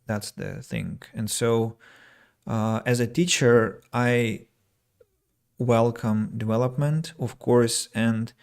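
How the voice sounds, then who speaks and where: A man's voice: mid-range voice, fairly even intonation, slow pace, vocal fry, a little mellow, smooth. A man from Russia, an English teacher talking through his thoughts on a solo podcast, reading out comments and restarting phrases as he thinks. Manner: reflective, reads listener comments aloud, frequent fillers and restarts.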